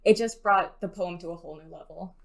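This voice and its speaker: A woman's voice: on the low side, fast talker. A woman giving casual, conversational answers as an interviewed poet.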